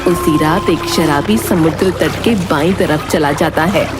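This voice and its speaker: A woman's voice: low voice, a little slow, loud music behind the voice. A woman narrating a scripted dramatic tale as the storyteller.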